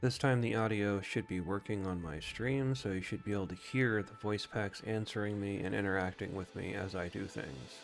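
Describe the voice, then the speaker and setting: A man's voice: fairly low pitch, steady tone, moderate pace, gravelly, hardly any pauses, faint music behind. A man giving casual live-stream commentary on a game, musing and thinking aloud.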